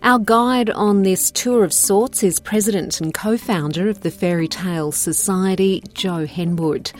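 A woman's voice: medium pitch, measured pace. A woman reading scripted documentary narration as a presenter. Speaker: podcast presenter and reporter. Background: background music.

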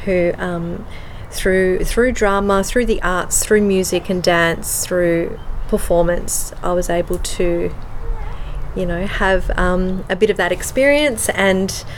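A woman with an Australian accent in a casual interview, speaking with many pauses and ums, moving between English and Slovenian. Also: lowish voice, fairly even intonation, a little hiss or hum. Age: adult with children. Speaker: guest.

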